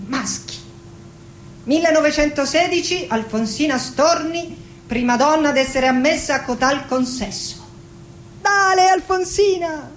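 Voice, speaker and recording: A woman's voice: high-pitched, a little hiss or hum, poor audio. An actress playing a proud, passionate, defiant woman poet from Argentina in a theatrical monologue, declaiming dramatically and lingering on her words. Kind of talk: theatrical poetic monologue with music. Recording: voice over live musical accompaniment. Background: music with accordion and guitar.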